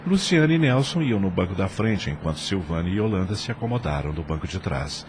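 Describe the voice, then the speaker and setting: A Brazilian man narrating a scripted audio drama in a measured, formal manner. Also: low-pitched, big pitch swings, noisy background.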